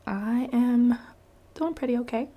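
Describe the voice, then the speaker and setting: A woman's voice: a little high, measured pace, mellow. A woman in her thirties hosting a podcast. She is under the weather with a cold and talks in a chatty, upbeat way. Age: 30s. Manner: chatty, upbeat, frequent "um" and "like" asides. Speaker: podcast host. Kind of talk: casual conversational interviewing.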